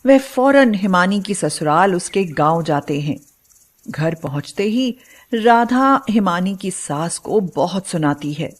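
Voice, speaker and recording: A woman's voice: fairly low pitch, animated, slow pace. A woman narrating a scripted moral story in a dramatic storytelling style.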